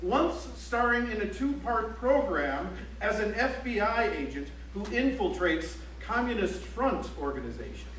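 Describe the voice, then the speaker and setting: Actor as male documentary chronicler, high voice, articulate, dry and declamatory, staged in an echoey hall. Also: sing-song, unhurried, few pauses, a bit bright, full character voice. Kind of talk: theatrical scripted documentary narration. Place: library auditorium stage, recorded from the audience. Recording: echoey auditorium, distant mic, faint hum.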